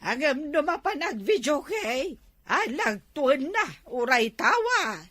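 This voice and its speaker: A woman's voice: sits high, sing-song, takes it slowly, sharp tone, heavily acted. A woman playing a chiding, gossipy village woman in a comedy drama, with an Ilocano accent. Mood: reporting.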